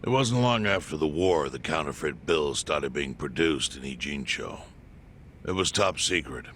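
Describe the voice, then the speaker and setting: An actor playing a stern old crime clan chief, telling history in a measured, formal way in a video game. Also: male voice, extremely low pitch, animated, fairly bright tone, slight background noise. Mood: grave, recounting. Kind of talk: video game cutscene voice acting.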